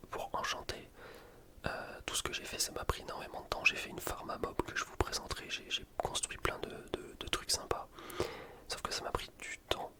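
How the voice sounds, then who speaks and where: A man speaks in a soft, breathy whisper with no voicing at all. He narrates gameplay in an ASMR style, rambling informally and poking fun at himself. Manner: informal, rambling, self-deprecating. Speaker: gaming video creator.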